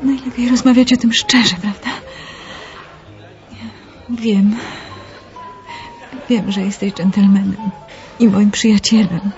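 An actress playing an unhappy, gentle young woman in love, speaking hesitantly in a radio drama. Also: medium pitch, steady tone. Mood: hesitant, earnest. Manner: hesitant, halting. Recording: slightly dull audio with music underneath.

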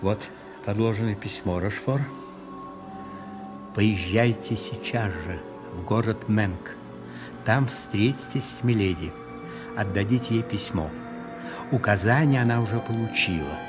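An actor playing a cold, calculating cardinal and spymaster in a radio play, deliberate and commanding, with savouring pauses. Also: male voice, on the low side, music in the background, natural voice.

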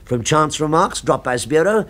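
An actor playing a fervent, food-obsessed gentleman in a 1970s British radio drama, with precise, florid, emphatic speech. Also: a little high, expressive, normal speed. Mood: fervent, reverent.

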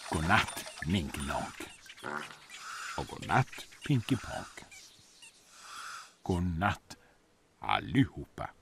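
A man in his sixties narrating a children's bedtime story in a soft, lulling, sing-song way, over gentle music. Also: a bass voice, huge pitch swings, vocal fry, long pauses, a little hiss or hum. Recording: studio narration with music behind.

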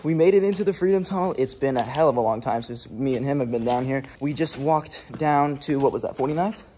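A young man hosting an urban exploration vlog, talking casually and excitedly to a handheld camera. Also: medium pitch, fairly quick, music in the background. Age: young adult. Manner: excited, casual, swears freely. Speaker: vlog host. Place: outdoors in city streets, subway and tunnels. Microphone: handheld camera at arm's length.

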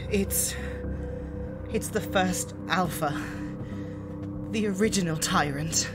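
A woman's voice: on the low side, takes it slowly, over music. An actress playing a grave, commanding woman of magical authority in an audio drama, speaking formally.

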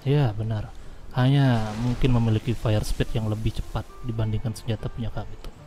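A gang member played by a man negotiates in a game roleplay with formal deference. His voice is on the low side and comes in halting phrases, with music clearly playing over the game chat. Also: flat delivery, mellow, lightly acted. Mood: polite, confident. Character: deferential male gang member asking for weapons. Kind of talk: improvised online game roleplay.

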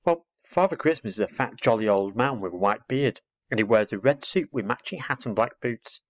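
A man's voice: mid-range voice, expressive, moderate pace, lo-fi sound, natural voice. A man voicing a forthright, proud little schoolgirl who knows it all, in a children's storybook. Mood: explaining.

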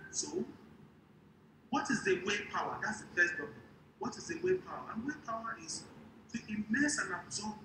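A middle-aged Nigerian man with a high-pitched voice addresses a business panel like a mentor. He explains his points with emphasis and deliberate repetition. He is on a video call from a small, echoey room, with clear noise on the recording. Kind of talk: panel talk to a business convention. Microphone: wired earphones on a video call.

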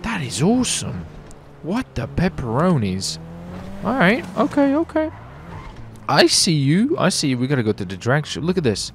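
A man commentating his roleplay gameplay, excitable and hyped, his voice jumping when something surprises him. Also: on the high side, moderate pace, slight background noise. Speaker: gameplay streamer.

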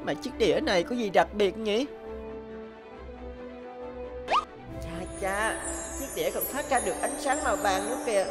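A woman voicing an earnest, easily indignant schoolboy in a cartoon, expressive and plaintive. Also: mid-range voice, brisk, natural voice. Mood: puzzled, uneasy. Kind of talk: animated cartoon dialogue. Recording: background music under dialogue.

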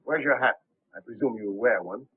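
A man's voice: medium pitch, brisk, husky, close to an everyday voice. Man as a stern, curt interrogator, muffled soundtrack. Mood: suspicious, curt. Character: stern, commanding, suspicious man in charge. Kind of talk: 1930s film acting. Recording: muffled old 1930s film soundtrack.

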